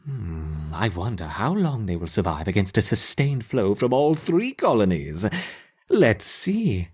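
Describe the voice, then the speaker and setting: A man playing a gleefully sadistic scientist in a video game, theatrical and savoring, with mock innocence and a husky voice. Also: lowish voice, sing-song, unhurried, darkish tone, exaggerated voice. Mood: smugly pleased. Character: gleefully sadistic male scientist running ant experiments. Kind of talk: video game character acting.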